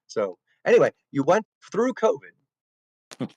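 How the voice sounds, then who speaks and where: A man's voice: high-pitched, very animated, slow, a little mellow, dry recording, highs cut off. A man hosting an interview, making earnest little speeches to his guest. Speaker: show host.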